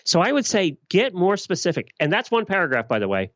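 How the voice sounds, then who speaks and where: A man's voice: high voice, huge pitch swings, brisk, a bit bright, no echo, highs cut off. A man giving blunt, emphatic answers in a conversational podcast interview. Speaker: podcast guest.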